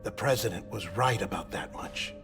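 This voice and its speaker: An actor playing a melancholy, earnest lab-grown man in a cinematic video game, speaking with deliberate, confessional phrasing. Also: lowish voice, natural voice. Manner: deliberate, storytelling delivery. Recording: background music under dialogue.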